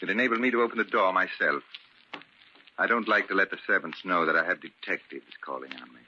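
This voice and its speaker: A man's voice: low-pitched, fast talker, pushed and bright, lo-fi sound. An actor playing a cruel, domineering older father-in-law with formal, condescending politeness in a vintage radio drama. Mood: icily polite. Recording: crackly band-limited old radio recording.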